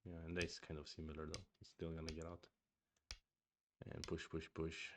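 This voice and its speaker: A man's voice: extremely low pitch, completely flat delivery, moderate pace, noisy background. A man streaming a review of his own go games, thinking aloud in a casual chat with murmured asides and restarts; his first language is Swedish.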